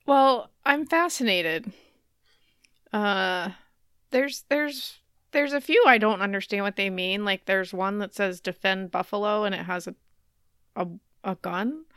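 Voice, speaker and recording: A woman's voice: medium pitch, a little slow, fairly bright tone, clear, resonant tone, no echo, clean studio sound. A woman in her thirties chatting casually and telling stories with wry humor as a podcast co-host. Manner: wry, laughs often.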